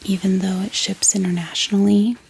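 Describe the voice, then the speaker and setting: A woman whispers softly and breathily in a gentle, chatty ASMR style, talking informally to her viewers close to the microphone. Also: mid-range voice, level tone, a little fast, a little hiss or hum, poor audio. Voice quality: breathy, mostly unvoiced whisper. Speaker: ASMR video host. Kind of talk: soft-spoken whispered ASMR.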